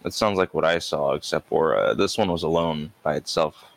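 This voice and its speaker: A male podcast host with a very low voice. He asks his questions calmly and at an even pace. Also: moderate pace, gravelly. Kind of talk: casual podcast interview.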